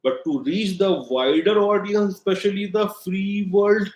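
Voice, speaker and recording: A man's voice: sits high, steady tone, unhurried, darkish tone, reverberant, poor audio. A man with an Indian accent, a native Hindi speaker, giving blunt, emphatic political commentary with frequent "uh" fillers. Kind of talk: solo commentary monologue.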